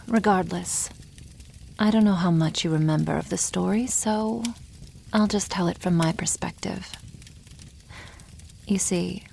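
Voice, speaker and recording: A woman plays a proud, teasing dragon girl in an intimate ASMR roleplay. Her soft, half-whispered voice moves very slowly and crackles with vocal fry. She sounds wry and a little condescending toward humans, over faint background ambience. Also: on the low side. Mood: measured, reflective. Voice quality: soft, breathy, often near whisper. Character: proud, teasing young female dragon, protective elder sister. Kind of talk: ASMR roleplay, addressing the listener.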